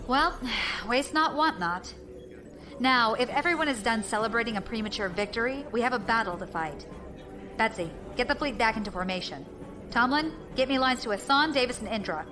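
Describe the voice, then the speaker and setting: A woman's voice: a little high, expressive, normal speed, over music, lightly acted. A woman playing a shrewd, determined rebel fleet leader with a wry, self-assured manner in a space opera audio drama.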